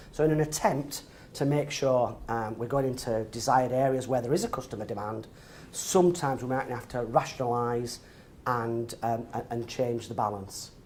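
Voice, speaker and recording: A man presenting to building society members, speaking haltingly with many fillers and repeated words. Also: medium pitch, a little mellow, a little hiss or hum.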